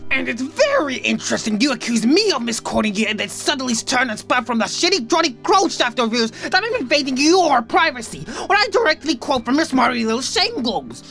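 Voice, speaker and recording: A woman's voice: high voice, very animated, a little fast, hardly any pauses, fairly bright tone, full character voice. A woman voicing a sweary young male alien in a comic dub, sarcastic and wordy, prone to florid insults. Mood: indignant, sarcastic. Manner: indignant, sarcastic, verbose, sweary. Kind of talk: comic fan dub voice acting. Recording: music behind the voice.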